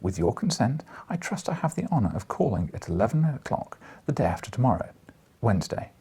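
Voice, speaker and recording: A man voicing a formal, flattering letter read aloud in an audiobook, pompous and deferential. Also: mid-range voice, fairly quick, breathy edge, heavily acted. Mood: pompous flattery. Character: formal male letter-writer, flattering, earnest, quietly challenging.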